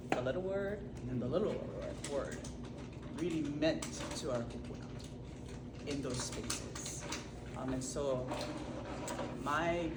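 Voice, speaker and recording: A speaker sharing a personal story at a community talk, earnest and halting, with fillers and restarts. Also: medium pitch. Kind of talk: personal testimony. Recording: roomy, distant, noisy room recording.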